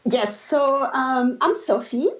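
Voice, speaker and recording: Belgian woman guest, French accent, halting pace, muffled lo-fi sound. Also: high voice. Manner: halting, many filler pauses. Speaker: podcast guest, recipe blogger. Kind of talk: casual interview talk.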